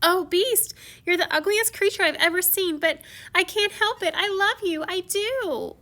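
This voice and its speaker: A woman's voice: a little slow, sharp tone, exaggerated voice. A woman voicing a loving young storybook heroine, emotional and melodramatic. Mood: tearful, loving. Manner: high, emotional, declaring.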